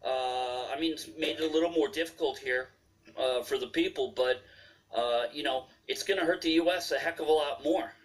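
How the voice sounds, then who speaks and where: A man's voice: a little high, normal speed. A man arguing heatedly as a debate panelist, full of restarts and fillers. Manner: forceful, repeating fillers and restarts. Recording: distant from the mic.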